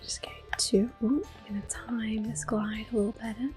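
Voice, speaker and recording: A woman giving soft, breathy, mostly whispered ASMR commentary on a video game, gentle and close to the microphone. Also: fairly high pitch, steady tone, moderate pace. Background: soft game music.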